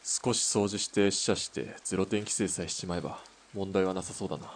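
A man playing a terse, cool sniper in a Japanese audio drama, deadpan and unhurried when talking shop. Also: low-pitched, slight background noise. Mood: cool, absorbed.

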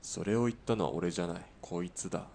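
A man playing a terse, stubborn ex-soldier hitman in a radio drama, with a blunt, deadpan delivery. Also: low voice, fast, creaky. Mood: grumbling.

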